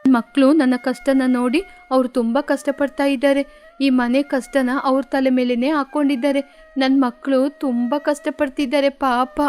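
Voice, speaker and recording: A woman acts out a careworn, gentle mother sparrow in a cartoon fable. Her voice is high, plaintive and tender, over faint music. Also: little pitch movement, unhurried. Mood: tender worry. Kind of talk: cartoon moral story dubbing.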